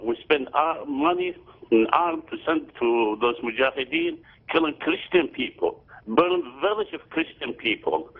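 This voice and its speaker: A man's voice: fairly high pitch, creaky, slightly rough. An older man from Syria calling in by phone, speaking broken English in an emphatic, repetitive way. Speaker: caller. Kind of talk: call-in phone comment. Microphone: telephone. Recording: tinny phone line.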